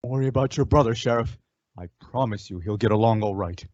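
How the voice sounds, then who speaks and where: A teenage man playing an earnest, measured masked cowboy hero in an old-time western radio drama. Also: lowish voice, a little mellow, dry recording, close to an everyday voice. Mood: solemn, resolved. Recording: dull, dry lo-fi radio recording.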